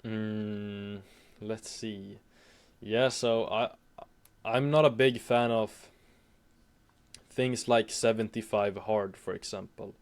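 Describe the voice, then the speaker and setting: Young swedish man, swedish accent, english not his first language, low voice, flat delivery, halting restarts. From Sweden. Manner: hesitant, halting with frequent restarts. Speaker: guest. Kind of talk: casual interview chat.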